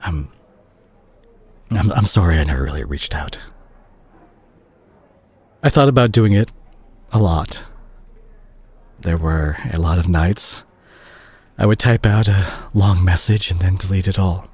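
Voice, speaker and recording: A man playing a remorseful, tender doctor ex-boyfriend in an intimate audio roleplay, speaking softly and hesitantly to the listener. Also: deep voice, unhurried, long pauses, husky, dry recording. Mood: apologetic, hesitant. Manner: hesitant, gentle, self-conscious, sighing. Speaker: audio drama voice actor.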